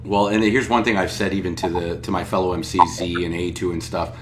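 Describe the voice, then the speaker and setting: A man's voice: low voice, fairly even intonation, brisk, slight background noise. A man hosting a podcast, talking in an enthusiastic, rambling way full of fillers. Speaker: podcast host. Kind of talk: podcast interview.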